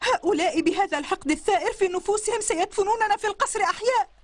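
A very high-voiced, fearful woman in a historical drama. She is bitter and melodramatic, accusing everyone as she laments. The sound is close and dry. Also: racing, no room sound. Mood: terrified, indignant. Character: panicked, bitter, outspoken cowardly woman in besieged palace. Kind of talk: dubbed historical drama acting.

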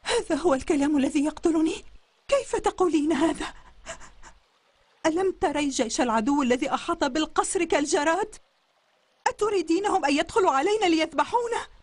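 A very high-voiced, fearful woman in a historical drama. She is bitter and melodramatic, accusing everyone as she laments. The sound is close and dry. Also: expressive, fairly quick, no room sound. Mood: terrified, indignant. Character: panicked, bitter, outspoken cowardly woman in besieged palace. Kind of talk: dubbed historical drama acting.

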